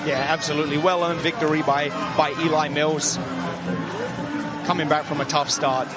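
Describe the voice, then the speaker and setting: Fight analyst guy, bit high voice, agrees a lot, crowd and music loud behind. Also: level tone, moderate pace. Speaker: colour commentator.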